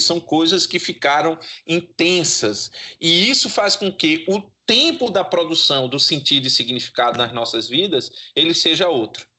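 Brazilian man, academic interview guest. Slightly high, sharp-edged tone, reflective and philosophical delivery. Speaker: psychologist and professor, interview guest.

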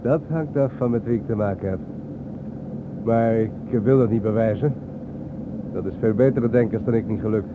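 Actor as calm war-haunted man, low voice, measured and cryptic, radio drama, thin phone-like noisy sound. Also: lightly acted. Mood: calm, grave. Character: calm, enigmatic male war-haunted guide, sparing with words. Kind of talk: Dutch radio play acting.

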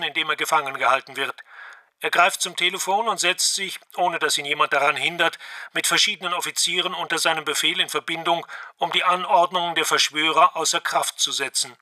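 Bright, high-voiced male narrator. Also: fairly even intonation, fairly quick. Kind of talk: scripted radio drama narration.

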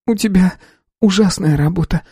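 Man putting on a high, emotional young woman, caring sister, mellow tone, dry close sound. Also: moderate pace, slightly rough, no room sound.

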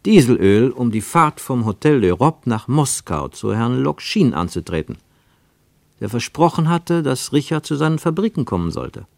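A man narrating a literary radio play as a scripted storyteller, measured and expressive, with deliberate pauses. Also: medium pitch, vocal fry, no echo.

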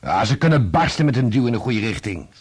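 An actor playing an indignant young conductor in a radio play, speaking in an insistent, combative, clipped way. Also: male voice, medium pitch, sing-song, fast talker, crowd noise, lightly acted. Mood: brisk. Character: indignant young conductor defending his dead father's name. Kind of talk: Dutch radio play acting.

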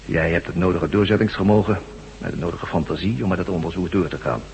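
An actor playing a mysterious, commanding armed stranger in a radio drama, terse, evasive and coolly menacing. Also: male voice, low voice, fast talker, gravelly, darkish tone. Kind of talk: Dutch radio drama acting. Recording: hum and noise, long reverb.

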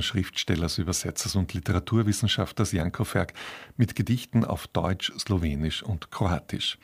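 A man presenting scripted radio programme announcements in a formal, composed manner, with a gravelly, husky voice. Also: deep voice.

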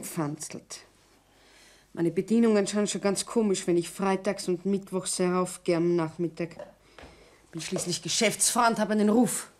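Woman acting a practical tavern landlady, Bavarian accent, mid-pitched, nagging, old radio play, light background noise. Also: natural voice. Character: practical, demanding tavern landlady with a secret lover. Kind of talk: 1960s German radio drama acting. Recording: noisy 1960s radio play recording.

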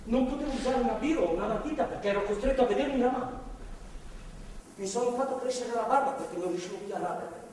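An actor playing a remorseful, broken reformed attacker, halting and pleading, in a stage drama. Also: male voice, very high voice, darkish tone. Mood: anguished, pleading. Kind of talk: live stage drama acting. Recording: distant mic, echoey theatre hall, faint noise.

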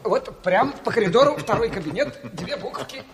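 An actor playing a brash, clumsy sailor in a radio drama, awkwardly over-polite and jaunty. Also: male voice, extremely high pitch, lively intonation, normal speed. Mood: clownishly courteous. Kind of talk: theatrical Soviet radio drama. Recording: noisy reverberant 1970s radio recording.

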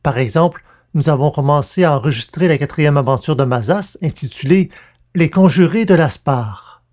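Higher-pitched man presenting a podcast. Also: moderate pace, a little mellow. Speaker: podcast host and producer. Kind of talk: scripted podcast announcements.

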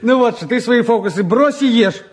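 A high-pitched young man fresh out of school in a radio play. He banters breezily and expressively, a glib, restless idler with a warm heart. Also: lively intonation, normal speed. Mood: breezy, teasing. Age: young adult. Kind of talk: stage-trained radio play acting.

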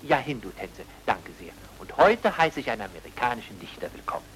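Radio play casting: a pompous, talkative culture bureaucrat, lively and over-hospitable. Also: male voice, mid-range voice, fairly quick, a little hiss or hum. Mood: pompous, eager. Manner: busy, pompous, eagerly hospitable. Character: fussy, garrulous, self-important cultural propaganda official. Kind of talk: mid-century German radio comedy-thriller acting.

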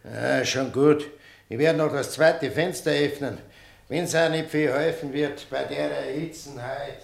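An actor playing a gruff, colloquial male guard in a German radio play, brusque in manner. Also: a little high, a little slow. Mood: grumbling, indulgent. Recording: roomy, echoing studio scene.

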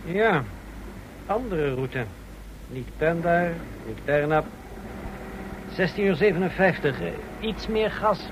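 A man's voice: animated, slow pace, pauses often. An actor playing a coolly menacing male escort in a radio drama, giving curt, evasive commands. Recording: noisy radio play, vehicle rumble.

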